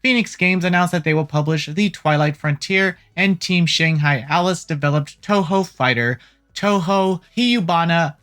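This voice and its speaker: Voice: medium pitch, fairly bright tone. A host giving a casual, opinionated video game news rundown with informal, joking asides. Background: background music.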